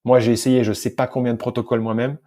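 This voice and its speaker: Man, informal and halting, slightly mellow. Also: medium pitch, little pitch movement. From France. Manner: informal, colloquial, halting with repeated words and self-corrections. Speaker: podcast guest, sports scientist and physical coach. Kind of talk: casual interview storytelling.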